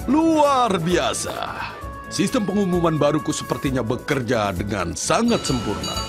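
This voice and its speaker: Pompous cartoon railway boss, highish voice, swooping intonation, theatrical exclamations, music underneath. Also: male voice, big pitch swings, measured pace. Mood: pleased. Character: pompous, easily flustered male railway controller. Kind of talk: children's cartoon dubbing.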